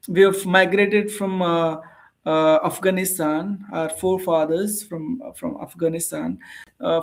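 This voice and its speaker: Earnest tutor with an Indian English accent. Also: medium pitch. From Andhra Pradesh, India. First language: Telugu. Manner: earnest, explanatory, repeats and rephrases points. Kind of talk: casual conversation practice.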